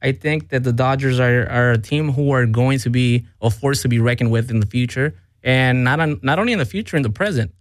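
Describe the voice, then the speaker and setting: A man hosting a sports radio show, talking casually, lively and teasing, quick to cut in. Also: medium pitch, no room sound.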